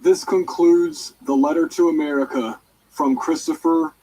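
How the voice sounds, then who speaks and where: A man's voice: on the high side, fairly even intonation, unhurried, a little mellow, husky, poor audio. A man reading a written letter aloud as an amateur narrator, with emphatic, declarative delivery.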